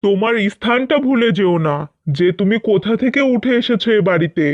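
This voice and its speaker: A man's voice: high-pitched, brisk, dry recording, lightly acted. Haughty rich husband, acted by a man, muffled and dry. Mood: contemptuous, threatening. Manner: contemptuous, threatening. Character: haughty, cruel young rich husband scolding his wife. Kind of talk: scripted cartoon dubbing.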